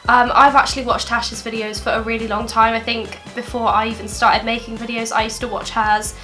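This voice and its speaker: A woman's voice: fairly high pitch, level tone, brisk, sharp tone, faint music behind. A young woman with a stuffy head cold, chatting through a makeup tutorial at her desk with plenty of ums. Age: young adult. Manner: chatty, friendly, many ums. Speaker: beauty vlogger. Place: bedroom desk indoors.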